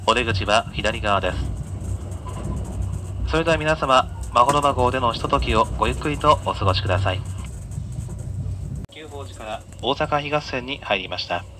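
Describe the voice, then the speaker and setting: A male train conductor speaks in a bright, forward voice at a middle pitch. He gives formal, polite on-board announcements over the PA, with faint train noise behind him. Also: rough recording. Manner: polite, measured, formal delivery. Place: inside a moving train, over the announcement system. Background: faint train running noise.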